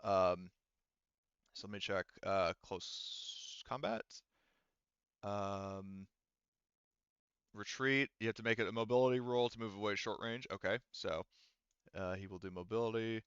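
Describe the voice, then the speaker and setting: A man with a fairly low, clean voice runs a tabletop game. He talks casually and improvises as he goes, cursing freely. The sound is close and dry, a touch dull. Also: steady tone, unhurried, long pauses, fairly bright tone, smooth, dry recording. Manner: casual, swears. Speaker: game master. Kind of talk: improvised tabletop game narration over voice chat.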